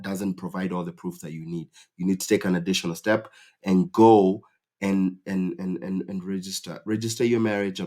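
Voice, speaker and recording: Man from Kenya, fairly low voice, casual channel host, rambling, lots of fillers. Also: warm tone. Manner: rambling, many fillers and restarts. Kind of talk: casual explainer talk to camera.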